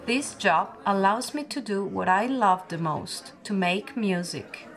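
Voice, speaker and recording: A woman in her late twenties from Bosnia with a Bosnian accent, narrating a scripted documentary in a reflective, measured manner. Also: mid-range voice, lively intonation. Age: late 20s. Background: music.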